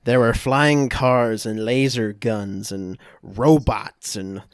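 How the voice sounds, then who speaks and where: A man co-hosting a podcast, casually recapping a film to friends in a halting, conversational way. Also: medium pitch, clean tone, no room sound, clean studio sound. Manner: halting, loosely conversational storytelling. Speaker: podcast co-host.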